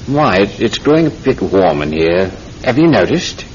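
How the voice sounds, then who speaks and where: A man's voice: on the low side, very animated, moderate pace, a little mellow. An actor playing a genial, sly tobacconist who coaxes his customer in an old-time radio drama. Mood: soothing, insinuating. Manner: ingratiating, coaxing. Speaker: shopkeeper. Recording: dull, noisy vintage radio recording.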